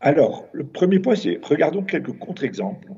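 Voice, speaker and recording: A man's voice: fairly high pitch, very animated, creaky, mellow, slightly rough, highs cut off. A man from France, a city councillor, earnestly explaining a report as a discussion guest. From France, Avignon. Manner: earnest, measured, with frequent restarts.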